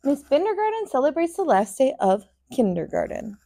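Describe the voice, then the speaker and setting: American woman, thirties, high-pitched, lively intonation, expressive storyteller, children's picture-book read-aloud. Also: normal speed, vocal fry. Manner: expressive, sing-song storytelling. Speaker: kindergarten teacher, storyteller. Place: small furnished room, indoors.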